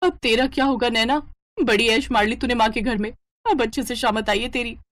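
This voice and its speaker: A woman's voice: on the high side, fairly even intonation, brisk, fairly bright tone, lightly acted. Woman acting a dramatic grumbling young daughter-in-law. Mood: exasperated. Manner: exasperated, self-pitying muttering.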